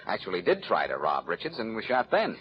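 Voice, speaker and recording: A muffled, very noisy old radio recording carries a wry, teasing detective from a 1940s serial. His voice sits low and swings widely as he tosses off breezy wisecracks. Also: huge pitch swings, brisk. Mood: confiding, brisk.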